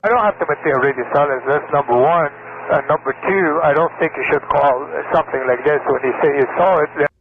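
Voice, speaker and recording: A narrow aircraft radio transmission with a busy babble behind it. A man, a pilot with a slightly high voice, argues insistently and defensively, often restarting his words. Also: fairly quick, a crowd in the background. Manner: insistent, defensive, stumbling and repeating himself. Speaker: flight instructor and pilot. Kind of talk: argumentative radio exchange. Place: small aircraft cockpit. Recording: narrow, crackly air traffic radio transmission.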